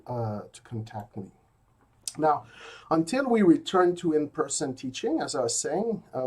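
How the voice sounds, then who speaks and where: Québécois-accented lecturer, hesitant delivery. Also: male voice, medium pitch, a little mellow. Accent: Québécois French. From rural Quebec. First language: French. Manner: hesitant, many fillers and restarts. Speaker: university logic lecturer. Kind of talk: pre-recorded lecture. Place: university office.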